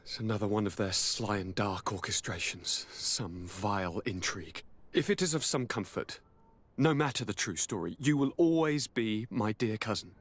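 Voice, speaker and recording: A man's voice: on the low side, normal speed, natural voice. An actor playing a needy, anguished young aristocrat in a video game, theatrical and formal in his delivery. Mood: tender, scheming. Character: sickly, anguished young male aristocrat governor, melodramatic and needy. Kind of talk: dramatic video game acting.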